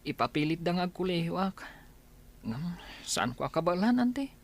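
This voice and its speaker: A man's voice: high-pitched, sing-song, normal speed, gravelly, exaggerated voice. A young man playing a discouraged student son in a radio drama, pleading and hesitant. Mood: downhearted. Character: discouraged teenage son who dreads college.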